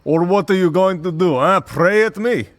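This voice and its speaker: A man's voice: high-pitched, normal speed, lightly acted. A man voicing a cocky, predatory vampire villain in an audio roleplay, with a mocking, drawling, theatrical delivery. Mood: scornful, boastful. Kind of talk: scripted audio roleplay, ASMR drama.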